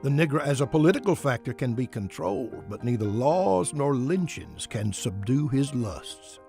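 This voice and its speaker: A vehement 1890s newspaperman of segregationist views acted by a man declaims his editorial for a documentary. The voice is mid-pitched and gravelly with heavy creak. Music plays underneath. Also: animated, normal speed, mellow, lightly acted. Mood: grim, contemptuous. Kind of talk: dramatic reading of historical quotation.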